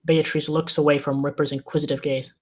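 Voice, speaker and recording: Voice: medium pitch, level tone, fairly quick, a little mellow. A narrator reading scene descriptions and stage directions from a screenplay at a table read.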